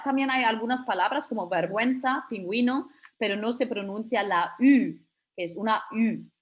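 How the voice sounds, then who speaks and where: A woman teaches a beginners' webinar at an unhurried pace. She explains patiently and pronounces each example phrase slowly and carefully, in a mid-range voice. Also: mid-range voice. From Germany. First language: German. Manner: slow, careful demonstrations of German phrases between Spanish explanations. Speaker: German teacher.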